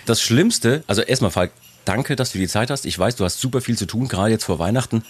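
Male host, low and husky, hoarse from a cold, chatty and self-mocking on a video call. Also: animated, brisk. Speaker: podcast host. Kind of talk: casual chat.

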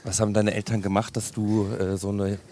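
A man's voice: lowish voice, level tone, a little fast, mellow. A man asking casual interview questions outdoors on a mountain hike. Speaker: podcast interviewer. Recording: clear outdoor background noise.

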